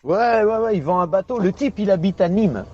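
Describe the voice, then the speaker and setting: A man's voice: high-pitched, expressive, moderate pace, mellow. A man on a CB radio channel, taunting another user and laughing at his own jokes. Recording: dull lo-fi CB radio with hiss.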